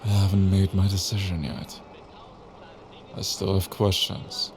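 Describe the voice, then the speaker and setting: An actor playing a suspicious East military captain, deliberate and authoritative in an audio drama. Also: male voice, sits low, creaky, over music. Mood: probing, guarded. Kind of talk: cinematic audio drama acting. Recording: faint vehicle noise behind.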